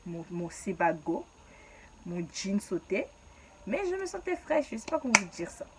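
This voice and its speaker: A woman's voice: medium pitch, very animated, moderate pace, fairly bright tone, slight background noise, rough recording. A young woman from Ivory Coast telling her own story in a casual, slangy way and laughing at herself.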